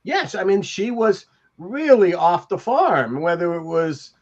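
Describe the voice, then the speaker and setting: An older man chatting casually and reminiscing as a podcast panelist, in a halting, rambling way. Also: high-pitched, sing-song. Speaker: podcast panelist, TV industry veteran. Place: home room, on a video call. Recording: dull lo-fi video call audio.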